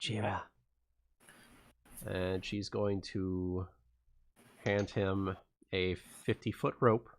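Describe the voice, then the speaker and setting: A man's voice: sits low, fairly even intonation, extremely slow, pauses often. A man playing a tabletop game in a laid-back, jokey way. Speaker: player. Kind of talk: casual tabletop play.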